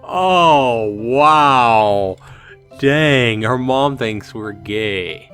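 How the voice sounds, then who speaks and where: A man's voice: medium pitch, animated, extremely slow, a bit bright, soft background music. A man hosting a let's play, reading a visual novel aloud and adding informal reactions in a casual way.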